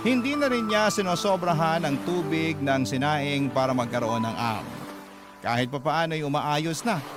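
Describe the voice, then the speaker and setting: Man playing a highish-voiced confiding storyteller. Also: lightly acted. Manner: confiding, reflective. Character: earnest poor letter sender confiding life story. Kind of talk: narrated Tagalog radio drama.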